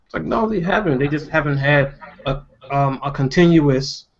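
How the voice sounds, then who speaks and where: A man's voice: fairly high pitch, animated, moderate pace. A man hosting a casual panel discussion, giving his opinions in a conversational way. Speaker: discussion host. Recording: dull lo-fi podcast audio.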